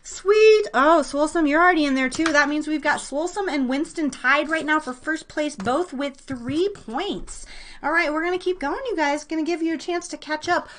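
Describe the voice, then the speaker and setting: A woman's voice: high-pitched, normal speed, a bit bright. A woman hosting a casual live trivia stream, chatting informally and slangily with her listeners. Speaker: live show host running a trivia quiz.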